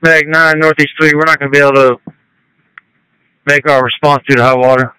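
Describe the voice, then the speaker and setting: A man's voice: on the high side, fairly even intonation, a little fast, pushed and bright, smooth, no echo, rough recording. A man on a medic crew, giving terse reports over two-way radio. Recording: fire department two-way radio traffic.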